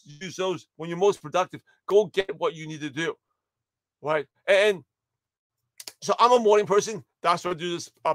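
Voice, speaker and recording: A man in his forties hosting a live morning pep talk from a home studio, energetic and upbeat, his voice slightly raspy and tired. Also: sits high, no room sound. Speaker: livestream host and network marketing coach. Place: home studio office with shelves.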